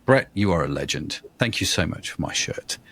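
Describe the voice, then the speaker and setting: A man in his fifties hosts a casual podcast chat, his pitch jumping up and down as he talks. He is chatty and self-deprecating, and he drifts easily into tangents before steering back. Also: animated, normal speed, fairly bright tone. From England. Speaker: podcast host.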